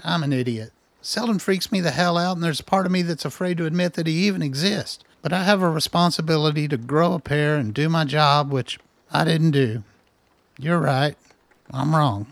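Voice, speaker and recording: An actor in a radio drama playing a wry, defensive middle-aged scientist who talks in an earnest, exasperated way. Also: male voice, fairly high pitch, moderate pace, vocal fry, no echo. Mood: apologetic. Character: defensive, wry male scientist doctor, anxious and conspiracy-minded. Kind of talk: naturalistic radio drama acting.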